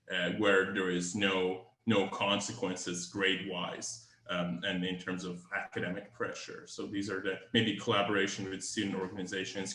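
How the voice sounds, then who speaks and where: A man's voice: sits low, pushed and bright, reverberant. A man whose first language is Farsi, speaking hesitantly on a video call panel with many fillers and restarts.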